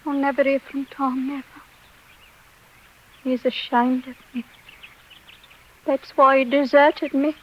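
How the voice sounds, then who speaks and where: A woman's voice: high-pitched, level tone, slow, long pauses, a little hiss or hum. An actress playing a forlorn but proud young English servant girl in a 1940s radio drama, plaintive and emotional. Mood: despairing, hurt. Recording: dull 1940s radio recording.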